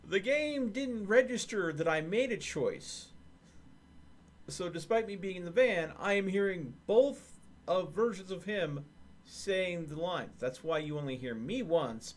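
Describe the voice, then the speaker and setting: A man streaming gameplay and explaining a game glitch in a casual, relaxed way. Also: sits high, slow pace.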